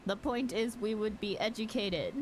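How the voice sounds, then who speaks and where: A woman's voice: mid-range voice, moderate pace, a little hiss or hum. A woman hosting a game livestream, chatting casually with viewers in an easygoing way. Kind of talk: casual chat with stream viewers while gaming. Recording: music behind the voice. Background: music.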